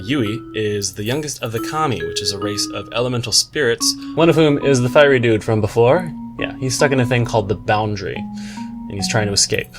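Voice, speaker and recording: A man giving a scripted book review commentary in a wry, tongue-in-cheek, slangy way. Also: mid-range voice. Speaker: book reviewer, video host. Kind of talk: scripted video essay commentary with slangy asides.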